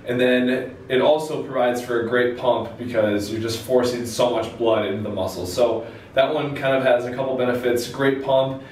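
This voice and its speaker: A man's voice: medium pitch, a little mellow. A man, explaining things conversationally to the camera as a fitness video host. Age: 20s. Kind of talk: informal instructional talk to camera. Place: small indoor room with a whiteboard. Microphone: camera mic a few steps away. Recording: very echoey room, distant mic, faint noise.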